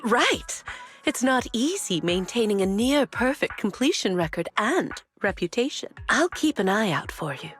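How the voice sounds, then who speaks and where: Self-assured flower shop boss in a game, articulate, bit high, swoopy delivery. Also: female voice, big pitch swings, normal speed, fairly bright tone, faint music behind. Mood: confident, pleased. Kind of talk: scripted video game voice acting.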